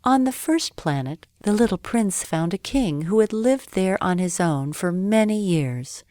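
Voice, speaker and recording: A woman reads a children's bedtime story as narrator. She takes it slowly, with carefully phrased lines and lots of movement in pitch, recorded very dry. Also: lively intonation, mellow, dry recording.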